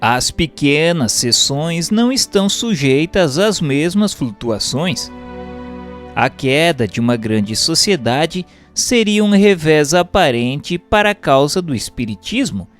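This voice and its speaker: Brazilian guy reading formally like an audiobook, really slow, lots of melody in his voice, bit of music behind. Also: fairly high pitch. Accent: Brazilian Portuguese. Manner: formal, measured, expressive phrasing. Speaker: reader of a Spiritist text. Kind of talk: scripted audiobook-style narration. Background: background music.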